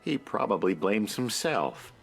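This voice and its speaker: An actor playing a worried villager in a fantasy video game, with a creaky voice. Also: male voice, lowish voice, sing-song, measured pace, full character voice. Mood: concerned. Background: game music.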